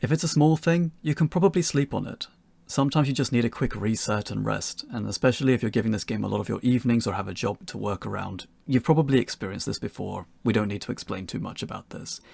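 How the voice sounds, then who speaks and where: A man's voice: lowish voice, fast, creaky, darkish tone, husky, dry recording. A man narrating a scripted tutorial in an articulate way with wry phrasing. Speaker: game developer giving a tutorial.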